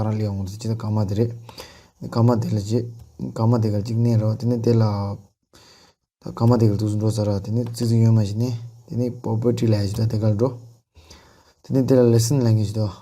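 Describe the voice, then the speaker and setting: Guy doing a computer how-to, really dark mellow voice, pretty flat, talks in halting bits. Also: on the low side. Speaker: tutorial presenter. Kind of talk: unscripted software tutorial narration.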